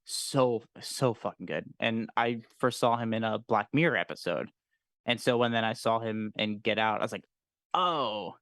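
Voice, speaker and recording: A man's voice: on the low side, moderate pace, fairly bright tone, no room sound, studio quality. Casual, enthusiastic guy chatting. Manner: enthusiastic, sweary, informal slang. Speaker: livestream co-host. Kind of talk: casual chat about films.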